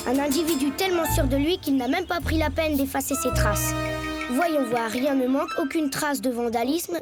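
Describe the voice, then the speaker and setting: A woman's voice: high-pitched, moderate pace, over loud music, close to an everyday voice. A woman voicing a self-important schoolboy who plays master detective in a French cartoon, with precise, pompous delivery. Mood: pompous deduction. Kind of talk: French animated series dialogue.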